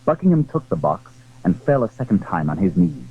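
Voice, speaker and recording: A man's voice: low voice, very animated, brisk, slightly rough. A man narrating a literary drama in a formal, scripted storytelling style. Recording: very muffled, lo-fi old recording.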